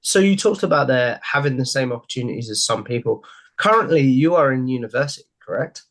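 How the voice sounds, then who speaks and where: A man's voice: mid-range voice, animated, brisk, fairly bright tone. A young man hosting a podcast from home over a video call headset, asking friendly, rambling questions and leaning on the same stock phrases. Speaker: podcast host. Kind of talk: casual interview questions. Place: home room.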